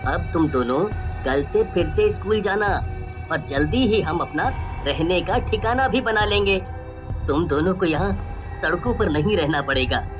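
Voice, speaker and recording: A woman's voice: on the low side, lively intonation, moderate pace, lightly acted. A woman voicing a meek, humble young father, poor and helpless, in a plaintive dramatized story style. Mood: hopeful. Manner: plaintive, pleading. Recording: music bed behind the voice.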